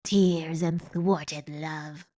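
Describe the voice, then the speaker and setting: A woman's voice: steady tone, very slow, warm tone. Botticelli, a woman voicing a gleeful jeering rat. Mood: gleeful mocking. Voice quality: booming and mocking, with cackling laughs. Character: gleeful cruel male rat, loud, mocking.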